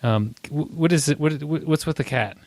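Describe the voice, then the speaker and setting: A man hosting a podcast interview, talking in a thoughtful, halting way with many restarts. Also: on the high side, darkish tone, no room sound. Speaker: podcast host. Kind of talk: casual interview chat.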